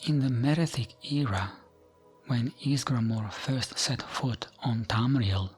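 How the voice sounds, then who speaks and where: A man speaks in a soft, breathy near-whisper, reading fantasy lore at a slow, unhurried pace. His delivery is gentle and soothing, in the style of bedtime ASMR, with music playing behind him. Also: medium pitch.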